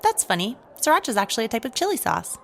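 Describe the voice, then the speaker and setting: Flirty, needling woman inmate voiced by an actress, animated intonation, comedic audio drama dialogue, faint background noise. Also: on the high side, quick pace, creaky. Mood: teasing.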